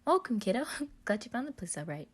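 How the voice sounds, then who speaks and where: A woman voicing a friendly, excitable giant man in a story, gushing and stammering when flustered. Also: mid-range voice, fairly quick, breathy edge, pitched above the performer's own voice. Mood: cheerful, welcoming.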